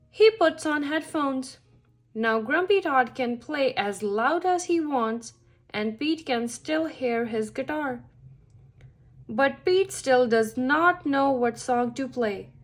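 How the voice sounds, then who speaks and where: A woman reading a picture book aloud to young children, with expressive, deliberate phrasing. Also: high-pitched. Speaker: children's story reader.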